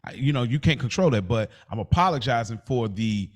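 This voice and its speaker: Male podcast co-host, medium pitch with lively swings. Casual debater who keeps clarifying and rewording his argument. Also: fairly quick. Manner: explanatory, restates and clarifies his point.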